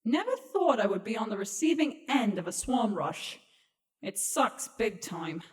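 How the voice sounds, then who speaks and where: A woman's voice: mid-range voice, sing-song, a little slow. A woman voicing a sarcastic, exasperated young female changeling, an insect-like pony creature, in an audio drama with a whiny, emphatic delivery. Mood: weary sarcasm. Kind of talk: audio drama fan reading.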